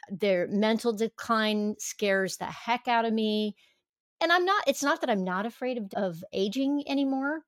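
A woman's voice: on the high side, a little slow, no room sound, clean studio sound. A woman in her fifties speaking as a podcast guest, earnest and reflective, often restarting her sentences. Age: 50s. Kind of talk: casual interview chat.

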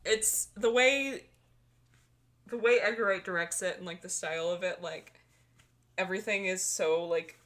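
Young woman podcast cohost, bright tense voice, giggly and chatty, likes everywhere. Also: fairly low pitch, moderate pace, pauses often. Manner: giggly, chatty, says "like" a lot. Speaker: podcast co-host. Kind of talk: casual chat.

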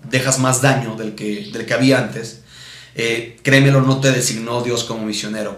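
A man's voice: mid-range voice, a bit bright. A man with a Mexican accent teaching a sermon in a didactic way, repeating his points and checking in with rhetorical questions. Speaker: pastor teaching at a missions conference. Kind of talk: teaching sermon with Bible readings. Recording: roomy, echoing sound.

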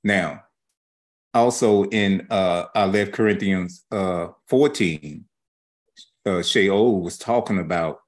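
A man with a fairly low voice teaches scripture informally. He moves in halting, broken phrases and uses folksy, colloquial grammar, like a teacher explaining things off the cuff. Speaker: religious teacher.

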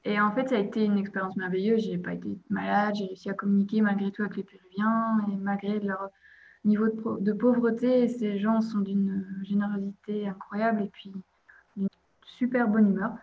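Flat-voiced Belgian woman, muffled audio. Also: mid-range voice, brisk. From Belgium, province of Liège. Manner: hesitant, many "euh" fillers, laughs lightly. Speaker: podcast guest. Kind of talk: casual interview chat.

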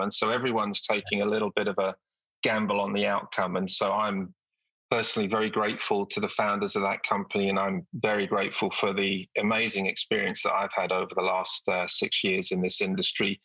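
A middle-aged British man from the UK, a company executive speaking as a podcast guest, measured with frequent hesitations. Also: on the low side, pushed and bright, slightly rough, no room sound, low-quality recording. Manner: measured, with frequent ums and uhs. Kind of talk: podcast interview.

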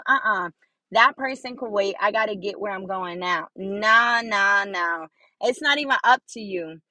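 A young woman with a high voice hosting her own podcast, talking casually and repeating phrases for emphasis as she encourages listeners. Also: sharp tone, no echo, rough recording. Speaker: podcast host. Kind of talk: casual motivational monologue.

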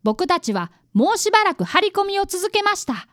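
A woman's voice: very high-pitched, very animated, normal speed, fairly bright tone, no room sound, studio quality, exaggerated voice. A woman voicing an earnest fifth-grade boy who is an apprentice detective and narrates a radio drama. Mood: earnest narrating. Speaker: narrator and actor.

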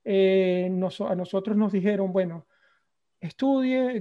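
A woman with a Latin American accent hosts a conversational interview. Her voice is very soft-edged and mellow, at a middling pitch. The recording is somewhat dull and lo-fi. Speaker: podcast host, interviewer. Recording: dull, muffled lo-fi sound.

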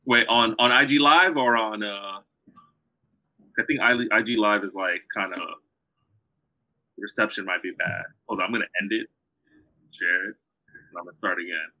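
A man hosting a podcast on a live video call, talking in a halting way full of ums and restarts. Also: fairly low pitch, fairly even intonation, no echo. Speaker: podcast host. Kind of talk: casual live-stream interview. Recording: dull video call audio.

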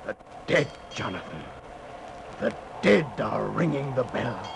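An actor playing a grim, fatalistic ship's carpenter with an ominous, portentous delivery in a 1940s radio drama. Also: male voice, mid-range voice, sing-song, a little slow, vocal fry, full character voice. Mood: grim foreboding. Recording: noisy old 1940s radio recording.

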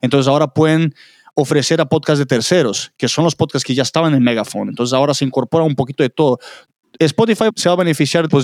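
Male co-host for conversational podcast commentary, medium-pitched, dry recording. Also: a little mellow, no room sound.

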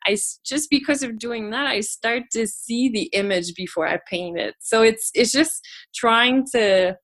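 A woman's voice: a little high, a little slow, fairly bright tone. Casual female podcast guest; hesitant, restarting often. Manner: hesitant, many restarts and laughs. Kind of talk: casual conversation.

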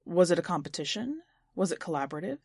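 A woman reading the part of an eccentric, excitable man in an audiobook story, declaiming and firing probing questions. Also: on the low side, fast, no room sound, clean studio sound, pitched below the performer's own voice. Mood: urgent, probing.

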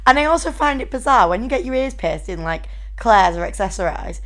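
A young woman with a husky voice, co-hosting a casual podcast and telling stories with a laugh. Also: mid-range voice, expressive, brisk. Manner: chatty storyteller, laughs often. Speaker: podcast co-host. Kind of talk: casual chat.

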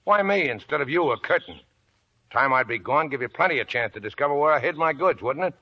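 Paranoid greedy young prospector, snarling, husky voice swings up and down, radio drama. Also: male voice, on the high side, expressive, quick pace. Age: young adult. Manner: suspicious, snarling, mutters to himself. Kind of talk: 1940s radio acting. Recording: dull lo-fi old radio recording.

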